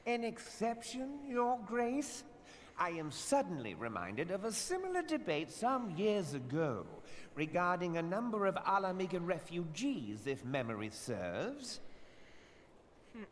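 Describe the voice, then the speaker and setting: A man's voice: high voice, huge pitch swings, a little slow, exaggerated voice. A man playing a smug, scheming, wealthy merchant lord in a video game, speaking in a haughty, mocking, oratorical manner. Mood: sarcastic, taunting. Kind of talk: theatrical video game voice acting. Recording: reverberant hall, light background noise.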